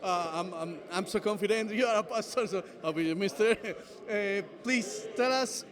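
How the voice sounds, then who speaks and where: A man hosting a casual interview, speaking haltingly, often repeating words, with non-native phrasing. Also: high-pitched, crowd noise. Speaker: interviewer host.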